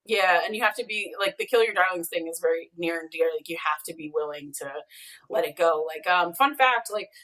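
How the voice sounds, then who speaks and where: A woman's voice: on the low side, brisk, fairly bright tone. A woman talking casually as an interview guest on a video call, explaining things in a rambling way with emphatic asides. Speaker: podcast co-creator and showrunner, interview guest. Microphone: video call, away from the mic. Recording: distant mic on a video call.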